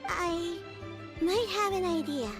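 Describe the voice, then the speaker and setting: A woman's voice: very high voice, takes it slowly, over music. A woman voicing a sweet, patient young girl, earnest and caring, with a girlish voice, in an anime video game. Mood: grateful, hopeful. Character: sweet, patient, devoted young granddaughter, a child.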